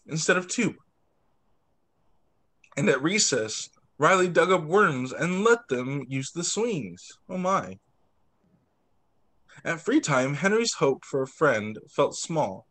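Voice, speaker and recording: Young male teacher, higher-pitched voice, very animated picture-book read-aloud for little kids. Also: slow pace, pauses often. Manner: expressive, doing voices for dialogue, with short asides. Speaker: teacher reading to young children. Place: indoors, on a video call.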